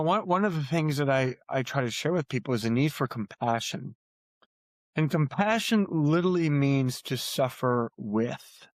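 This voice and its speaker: A man's voice: on the high side, no room sound. A man talking earnestly as an author guest on a podcast, repeating phrases for emphasis. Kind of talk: earnest podcast interview talk.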